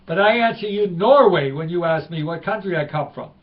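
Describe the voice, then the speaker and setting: A man's voice: a little high, expressive, brisk, dull audio. A man voicing a puzzled Norwegian tourist who speaks broken English.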